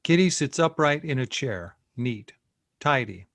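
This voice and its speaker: A man's voice: mid-range voice, expressive, measured pace, fairly bright tone, no echo, clean studio sound. A man reading stage directions as narrator of a screenplay table read, in clipped, matter-of-fact phrases.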